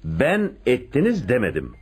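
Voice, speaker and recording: An actor playing a shrewd, domineering police inspector in a radio play, questioning people insistently. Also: male voice, medium pitch, huge pitch swings, moderate pace, husky, exaggerated voice. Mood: probing, pressing. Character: shrewd, domineering male police inspector interrogating and giving orders. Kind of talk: radio theatre acting.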